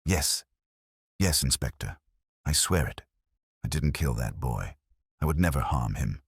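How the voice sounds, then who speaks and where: A man narrating plays a guilt-ridden parish churchman from a mystery audiobook. He is earnest and pleading, with halting confessions. The voice is extremely low and husky, recorded very dry. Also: lively intonation, dry recording, exaggerated voice. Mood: desperate, resigned. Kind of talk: dramatised audiobook dialogue.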